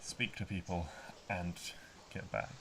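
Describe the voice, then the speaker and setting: Deep-voiced man running a tabletop game. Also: a little slow, creaky, a bit bright. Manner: hesitant, many ums and restarts. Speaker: game master.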